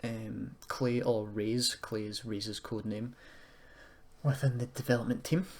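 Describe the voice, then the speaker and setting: A man giving an informal software tutorial, thinking aloud in a hesitant, self-deprecating way as he goes. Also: mid-range voice, level tone, a little slow. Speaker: tutorial presenter. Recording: noisy room with keyboard clicking.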